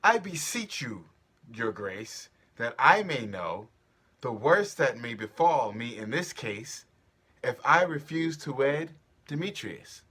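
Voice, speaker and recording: A man's voice: mid-range voice, very animated, slow, pushed and bright, slightly rough, full character voice. A man playing a young woman in love, pleading yet defiant, in an earnest theatrical Shakespearean reading.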